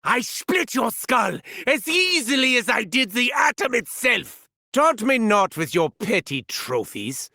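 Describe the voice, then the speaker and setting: An actor voicing an arrogant, unhinged German mad scientist in a video game, pompous, theatrical and gleefully sinister, with a German accent. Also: male voice, very high-pitched, sing-song, measured pace, vocal fry, hardly any pauses, bright, forceful tone, no room sound, studio quality, full character voice. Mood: frenzied. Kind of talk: video game character voice lines.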